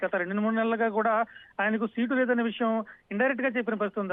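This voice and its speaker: A dull, dry phone line carries a male field reporter. His voice is high and he talks quickly in an unscripted live report, sometimes doubling back on phrases. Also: no echo.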